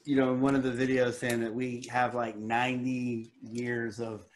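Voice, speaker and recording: A man's voice: medium pitch, little pitch movement, normal speed. A man in his late thirties in a casual group chat, chatty and pointing things out as he talks. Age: 30s. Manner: chatty, shows things while talking.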